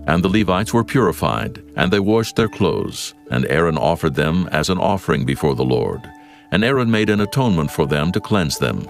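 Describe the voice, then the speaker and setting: A man reading scripted Bible narration in a solemn, measured and formal way. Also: a bass voice, vocal fry. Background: music.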